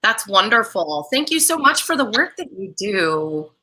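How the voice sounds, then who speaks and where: A woman hosts an online talk show, opening with upbeat, welcoming introductions. Her voice is very bright and sharp. She speaks at an average pitch over a video call. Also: big pitch swings, unhurried, husky.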